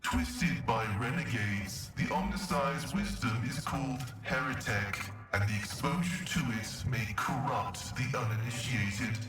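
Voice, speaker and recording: An actor playing a pious cyborg tech-priest in a video game, speaking formally and solemnly through a metallic voice modulator. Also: male voice, mid-range voice, fairly bright tone. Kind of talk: scripted video game dialogue. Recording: heavily echoing, distant processed game audio.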